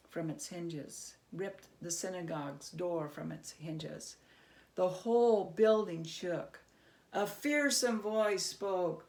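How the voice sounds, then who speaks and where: A woman storyteller reading a storybook aloud expressively, with dramatic, suspenseful pauses. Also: lowish voice, a little mellow.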